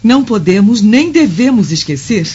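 A muffled radio play with music beneath. a devoted Roman noblewoman with a breathy, medium-pitched voice, respectful, gentle and earnest. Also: very animated, normal speed. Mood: earnest, pleading. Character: gentle, devout, earnest Roman senator's wife. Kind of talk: radio drama acting.

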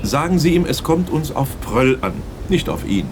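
An actor playing a persistent police detective in a radio play, polite but insistent and official. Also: male voice, mid-range voice, big pitch swings, normal speed, background noise. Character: persistent, measured police detective pressing a relative. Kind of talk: 1980s German radio play acting.

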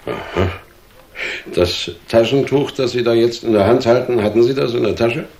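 An actor playing a dry, businesslike police detective in a German radio drama, questioning in a measured, insistent way. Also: male voice, mid-range voice, gravelly, a little mellow, slight background noise, natural voice. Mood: probing, dry.

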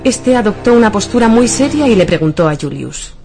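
A woman's voice: mid-range voice, big pitch swings, fairly quick, a little mellow. A woman with an accent from Spain narrating a scripted radio story. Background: music.

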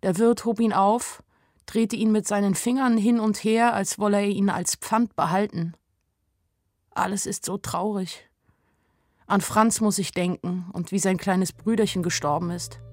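A woman's voice: medium pitch, fairly even intonation, a little slow, a little mellow, dry recording, studio quality, natural voice. An actress playing a young shopgirl in love, narrating a radio play in a plain, reflective, anxious and melancholy way. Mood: anxious, sad.

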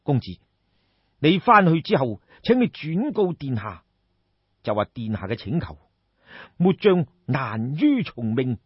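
Male storyteller as seasoned general, Cantonese, grave and firm, husky, measured, roomy recording. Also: medium pitch, big pitch swings, dull audio. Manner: sighing, deliberate, measured.

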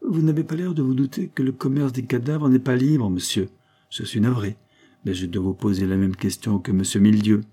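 A man voicing a calm, unflappable police commissioner in an audiobook, curt, dry and polite. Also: medium pitch, brisk, slightly rough, pitched above the performer's own voice, natural voice. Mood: calm, official. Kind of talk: audiobook character dialogue.

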